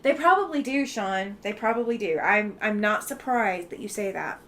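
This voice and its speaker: A woman's voice: medium pitch, expressive, normal speed, a bit bright, slight background noise. A woman from the Southeastern US with a faint Southeastern accent, hosting a casual livestream and chatting back to viewer comments. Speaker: livestream host.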